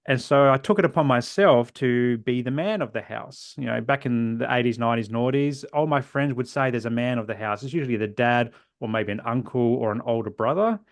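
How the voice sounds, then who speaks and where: Gravelly-voiced Australian man, earnest and reflective. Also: mid-range voice, a little fast, hardly any pauses, no echo, clean studio sound. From South Australia. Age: 40s. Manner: earnest, reflective, trails off softly at phrase ends. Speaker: guest. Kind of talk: personal storytelling in a podcast interview.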